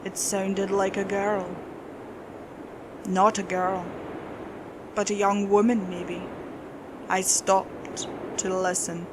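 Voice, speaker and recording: A woman's voice: medium pitch, level tone, slow, pauses often, noisy background. A woman reading a scripted horror story as its narrator.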